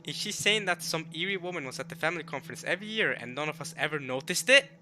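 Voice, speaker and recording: Male streamer, non-native English, casual visual novel commentary, repeats himself and gets excitable, clear music behind. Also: a little high, quick pace. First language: not English. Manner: rambling, repeats and rephrases himself, excitable bursts. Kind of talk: casual let's-play commentary with reading aloud. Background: game music.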